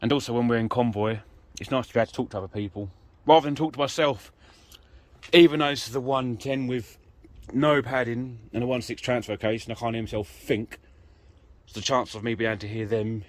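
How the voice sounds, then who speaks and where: A man's voice: medium pitch, steady tone, fairly quick. A British man giving a casual, self-deprecating DIY walkthrough while working, rambling informally into a handheld camera. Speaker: vlogger, amateur vehicle DIYer. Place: in and around an off-road vehicle outdoors. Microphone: handheld phone or camera mic. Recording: noisy handheld recording with vehicle ambience.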